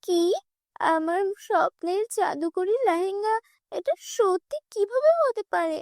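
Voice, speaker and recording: A woman voicing a kind, gentle young heroine in a cartoon, speaking softly and earnestly. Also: extremely high pitch, lively intonation, measured pace, dry recording, clean studio sound, heavily acted. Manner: soft, earnest. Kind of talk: cartoon dubbing.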